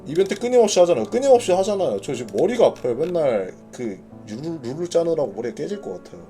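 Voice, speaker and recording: A man's voice: on the high side, very animated, fast, warm tone, soft background music. A man hosting an informal live announcement for a gaming community, reading notes and explaining them in a conversational way while thinking aloud. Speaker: gaming clan leader hosting announcement broadcast.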